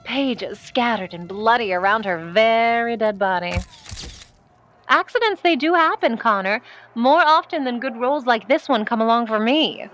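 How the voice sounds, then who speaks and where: Arch, ambitious old-Hollywood actress, higher voice. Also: female voice, animated, normal speed, fairly bright tone, lo-fi sound, soft background music. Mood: callous, arch. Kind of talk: 1940s noir audio drama acting.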